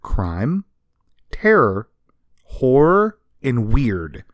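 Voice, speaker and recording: A man's voice: mid-range voice, wildly swooping pitch, extremely slow, no room sound. A man co-hosting a podcast, casually telling history in an emphatic, conversational, explanatory way. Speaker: podcast co-host. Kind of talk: casual storytelling of comics history.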